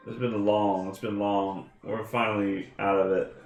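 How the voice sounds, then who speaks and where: A man's voice: lowish voice, little pitch movement, creaky, faint music behind. A man in his thirties joking around with friends before a tabletop game, wry and teasing. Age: 30s. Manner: wry, joking, quick to tease. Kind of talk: casual pre-game banter. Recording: echoey room.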